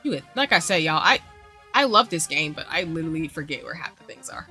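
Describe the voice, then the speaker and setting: A woman's voice: low-pitched, big pitch swings, a little fast, bright, forceful tone, soft background music. A young adult woman streaming a game, rambling casually to her viewers with lots of asides and fillers. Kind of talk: casual chat with stream viewers while gaming.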